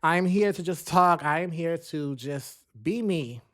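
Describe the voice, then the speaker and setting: A man's voice: fairly high pitch, unhurried, fairly bright tone. A man in his twenties hosting his own podcast, chatting casually and rambling with frequent laughs and self-deprecating asides. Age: 20s. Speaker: podcast host. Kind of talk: casual solo podcast chat. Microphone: studio mic recording into a computer.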